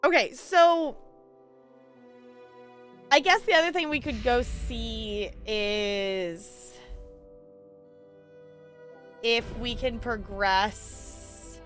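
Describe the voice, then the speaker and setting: A woman's voice: a little high, very slow, pauses often, a bit bright, soft background music. A woman chatting casually and animatedly as she streams a video game live and talks to her viewers. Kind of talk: casual live stream chat with gameplay commentary.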